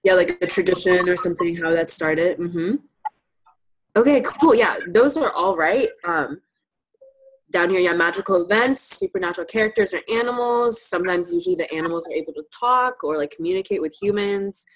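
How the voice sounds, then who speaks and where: A woman with a slightly low-pitched voice presents a lesson in an informal way. She invites participation and encourages those she teaches. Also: moderate pace, low-quality recording. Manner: encouraging, affirms answers. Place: live virtual presentation.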